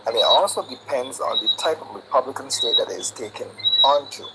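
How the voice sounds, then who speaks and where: A man's voice: mid-range voice, fairly quick, gravelly. Older Barbadian man on a very noisy call. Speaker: caller. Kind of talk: earnest opinion on a live call-in discussion.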